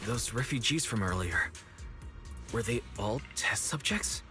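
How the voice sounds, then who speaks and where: A man's voice: on the low side, lively intonation, a little slow. A man voicing an earnest, level-headed young investigator in an anime video game, speaking thoughtfully. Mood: puzzled, concerned. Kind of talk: video game dialogue acting. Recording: game music behind voice. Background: game music.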